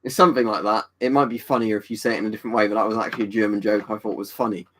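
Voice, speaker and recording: A man's voice: lowish voice, fairly even intonation, rapid-fire, fairly bright tone, a little hiss or hum. Guy on a stream, rambling and chatty, answering chat by name. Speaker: livestream host reading chat. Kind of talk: casual chat.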